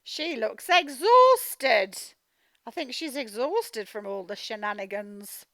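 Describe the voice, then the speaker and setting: A woman teacher giving a children's read-aloud phonics lesson, speaking in an animated, emphatic, instructional way and sounding out words. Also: a little high, slow pace, no room sound. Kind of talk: children's read-aloud lesson, explaining directly to young learners.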